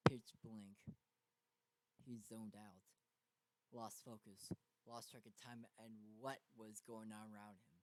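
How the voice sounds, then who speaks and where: A man narrating a story dramatically, with a halting delivery that stumbles and repeats. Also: lowish voice, fairly even intonation, low-quality recording. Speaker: fan fiction reader.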